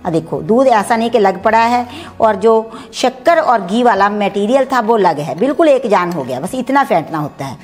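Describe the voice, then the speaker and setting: A woman's voice: medium pitch, expressive, moderate pace. A middle-aged woman in her home kitchen, chattily explaining each step of a recipe as she cooks. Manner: chatty, explanatory, mixes English words. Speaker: cooking channel host. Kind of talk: home cooking demonstration.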